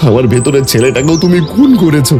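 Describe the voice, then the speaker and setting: A man's voice: mid-range voice, lively intonation, quick pace, natural voice. A man voicing a heartbroken young man in a horror cartoon, speaking with vehement emotion. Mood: hurt, accusing. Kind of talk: Bengali horror cartoon dialogue. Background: music.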